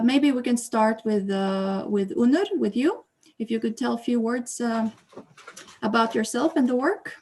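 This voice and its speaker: Higher-pitched woman with a gravelly edge. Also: a little mellow. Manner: hesitant fillers when speaking off script. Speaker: exhibition host and curator. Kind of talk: reading an opening statement, then moderating.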